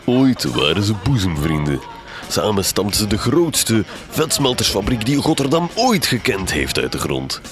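Low florid villain doctor, flemish, very lively pitch, scheming, gothic radio play. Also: male voice, moderate pace, music in the background. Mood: sardonic gossip. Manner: pompous, florid, sardonic. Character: pompous, scheming doctor, grandmaster of a secret society. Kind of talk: gothic comedy radio drama acting.

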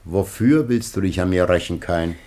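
An older man acting in a radio drama as a grieving, solemn old patriarch facing death, husky and gravely declamatory. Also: deep voice, normal speed, a little mellow, lightly acted. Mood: pained pleading.

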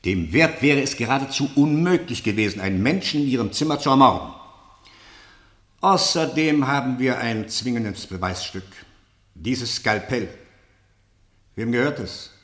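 An actor playing a stern, sceptical interrogator in a radio play, formal and insistent, with deliberate, pointed questioning. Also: male voice, mid-range voice, a bit bright, roomy sound, natural voice. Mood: sceptical, methodical. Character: stern, probing male interrogator, sceptical and relentless. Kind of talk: German radio play acting, interrogation drama.

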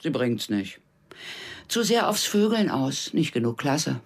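A drawling brothel madam. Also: male voice, mid-range voice, very animated, measured pace, creaky, husky, exaggerated voice. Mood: knowing. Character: brothel madam, loyal informant to her pimp. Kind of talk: radio drama acting.

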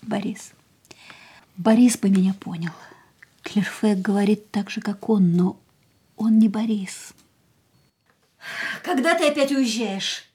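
An actress playing an ailing, proud and wistful young woman, reflecting in a measured, theatrical way in a radio drama. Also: mid-range voice, darkish tone, husky. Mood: pensive. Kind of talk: radio play dialogue and inner monologue.

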